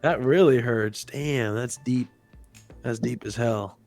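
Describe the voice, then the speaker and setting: An American man with a gravelly, mid-pitched voice chats as a guest on a livestream. He teases and jokes, playing with words by repeating them, over faint background music. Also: slow pace, darkish tone. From United States. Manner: joking, teasing, repeats words for fun. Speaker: guest streamer. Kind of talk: casual chat on a livestream.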